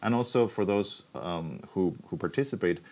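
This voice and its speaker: Man, forties, very low voice, thoughtful explainer, studio interview, lapel mic. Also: moderate pace, a little mellow. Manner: thoughtful, explanatory, frequent um and restarts. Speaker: university researcher, keynote guest. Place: TV studio set.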